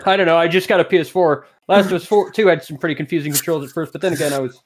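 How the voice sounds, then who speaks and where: Male comedy co-host, fairly high voice, casual banter, profane humour. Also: steady tone, rapid-fire. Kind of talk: casual joking chat.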